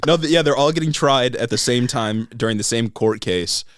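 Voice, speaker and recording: A young American man talks through the news as a podcast co-host. His voice sits at a middle pitch and is recorded very dry. He speaks informally, with slang and the odd swear word, as if filling in a friend. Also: normal speed, clear, resonant tone, no room sound. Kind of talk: casual chat, explaining news.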